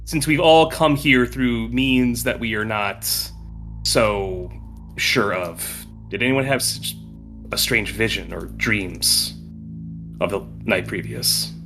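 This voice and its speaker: A man's voice: on the low side, slow pace, a bit bright. A man playing a tabletop role-playing game, speaking casually and partly in character. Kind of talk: casual role-play chat. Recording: faint music behind the voice. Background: faint background music.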